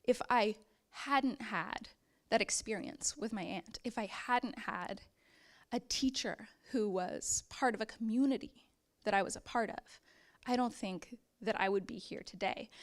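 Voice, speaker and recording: A young woman giving a prepared personal speech to an audience, earnest and encouraging, with the odd hesitant "um". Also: medium pitch. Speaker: conference speaker.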